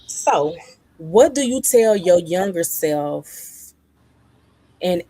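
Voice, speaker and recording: A woman hosting a casual panel conversation who tells stories with lively energy and acts out other people's voices. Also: on the low side, very slow, no echo, low-quality recording. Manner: animated storyteller who acts out quoted voices. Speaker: panel host.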